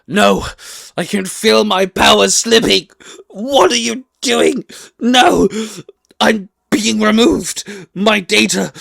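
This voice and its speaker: A man's voice: sits high, gravelly, fairly bright tone, heavily acted. A man voicing a vain, villainous computer virus who rants and pleads melodramatically as it is deleted.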